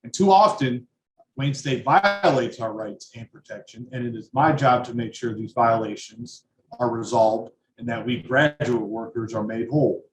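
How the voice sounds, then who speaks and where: A man's voice: medium pitch, moderate pace. A young man, a PhD student and union grievance chair, giving a firm prepared speech with some uh fillers. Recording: roomy with faint noise.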